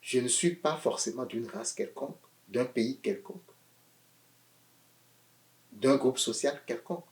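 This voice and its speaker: A man preaching a sermon in an animated way, swinging between loud emphatic phrases and quiet asides, often repeating words as he searches for them. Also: medium pitch, measured pace, long pauses, echoey room.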